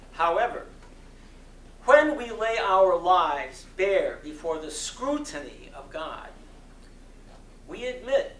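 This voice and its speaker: A man performing a dramatic sermon reading in an oratorical style, with solemn, emphatic phrasing and long deliberate pauses. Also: high voice, fairly bright tone. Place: community center hall before an audience. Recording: roomy echo, distant mic, faint noise.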